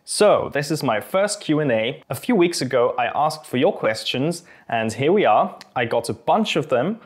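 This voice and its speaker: A young Austrian man speaks English with a British-tinged accent over his native German, at a medium pitch. He answers viewer questions in a friendly, thoughtful way, pausing often with small ums. It sounds like a relaxed chat from a channel host. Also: breathy edge. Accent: Austrian German mixed with British English. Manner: friendly, thoughtful, a little hesitant with frequent ums. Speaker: channel host and fencing instructor. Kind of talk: casual Q&A to camera.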